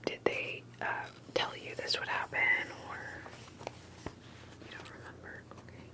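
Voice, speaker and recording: A woman whispers in a breathy, unvoiced voice, as in an ASMR roleplay. She plays an interviewer, asking things gently and hesitantly. Her phrases come with small pauses between them.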